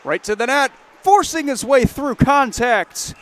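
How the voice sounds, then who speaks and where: A man calling live hockey play-by-play, brisk and lifting his voice on rushes toward the net. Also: very high voice, expressive, fairly bright tone. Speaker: play-by-play commentator.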